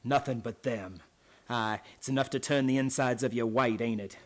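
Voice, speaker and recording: A man's voice: mid-range voice, fairly even intonation, close to an everyday voice. Man playing a bitter, menacing old boatman. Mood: bitter, gloating. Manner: folksy, menacing, deliberate phrasing. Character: bitter old servant boatman, gloating with lifelong hatred. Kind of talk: radio drama acting.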